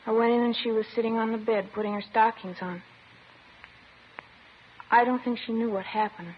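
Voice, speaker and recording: A woman's voice: a little high, fairly even intonation, moderate pace, lightly acted. A woman playing a sullen, defensive schoolgirl in a 1950s radio crime drama, petulant and emotionally detached. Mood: flat confession. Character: sullen, defensive, childlike schoolgirl confessing to police. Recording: muffled, noisy old 1950s radio recording.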